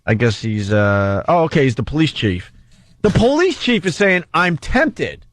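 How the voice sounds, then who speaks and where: A man's voice: a little high, very animated, measured pace, a bit bright, dry recording. An American man hosting a talk radio show with casual comic banter, close on a studio microphone.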